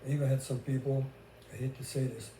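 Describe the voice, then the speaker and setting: A man's voice: mid-range voice, flat delivery, fast, warm tone. An older man from Hungary with a Hungarian accent, chatting casually as a vlogger about his own life. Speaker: vlogger sharing relationship experiences. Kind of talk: casual conversational talk. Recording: roomy echo with faint background noise.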